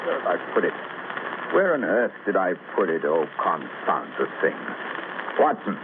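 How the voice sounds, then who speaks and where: A very lively, rising and falling voice on a thin, faintly hissy radio recording. It is a gentleman detective, imperious and dryly sarcastic, in vintage radio drama style. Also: low voice, moderate pace, narrow, tinny audio. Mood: irritable. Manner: clipped, haughty, dryly sarcastic. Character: brisk, imperious, sardonic English gentleman detective. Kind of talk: old-time radio drama acting.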